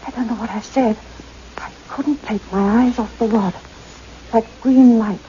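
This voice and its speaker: An actress as a high-voiced, frightened, dazed woman. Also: dark tone, natural voice. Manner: halting, dreamy, faltering. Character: frightened, dazed woman recalling an eerie encounter. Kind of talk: radio play acting. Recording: muffled, noisy radio play recording.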